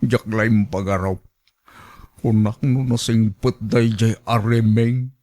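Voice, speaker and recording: An actor with an Ilocano accent playing a gullible, easily wounded suitor in a radio drama, with naive, drawn-out exclamations. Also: male voice, fairly low pitch, fairly even intonation, mellow. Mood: surprised, hurt.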